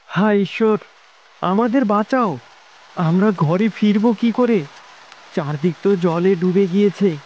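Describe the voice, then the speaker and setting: A man's voice: sits high, a little slow, pauses often, a little mellow. A man as a frightened father, muffled sound. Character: frightened father stranded in a flood. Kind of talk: melodramatic cartoon voice acting. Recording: dull sound with faint background noise.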